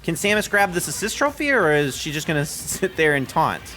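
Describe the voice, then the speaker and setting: A young man hosting a game stream, giving lively, excitable play-by-play and laughing often with his co-host. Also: on the high side, brisk. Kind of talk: casual game commentary.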